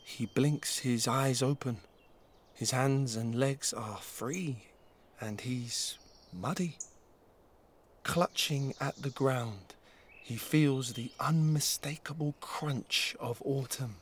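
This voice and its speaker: A man reading an audio drama aloud as its narrator, in short, measured, dramatic phrases. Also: mid-range voice, slight background noise.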